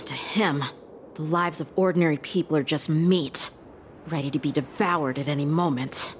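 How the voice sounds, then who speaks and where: A woman's voice: deep voice, normal speed, a little hiss or hum, lightly acted. An actress voicing an eager young male knight who asks earnest, incredulous questions in a game cutscene.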